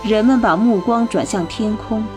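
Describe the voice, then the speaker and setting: A female narrator tells a radio drama story in a descriptive, atmospheric way. Her voice sits at a medium pitch, and music plays clearly behind it. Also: moderate pace.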